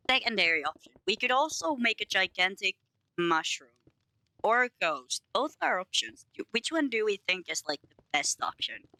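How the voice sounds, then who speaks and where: A woman's voice: mid-range voice, very animated, a little slow, long pauses, pushed and bright, no room sound, low-quality recording. A young woman from Finland who speaks English with a light Finnish accent, chatting casually and rambling with her stream chat, with giggles and self-teasing. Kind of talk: casual chat to live chat while building in a game.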